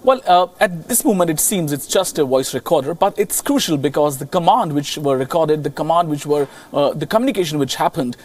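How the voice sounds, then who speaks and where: A man with an Indian accent giving a live, unscripted news report as a correspondent, halting and rephrasing as he goes. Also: a little high, animated, slightly rough, far from the mic.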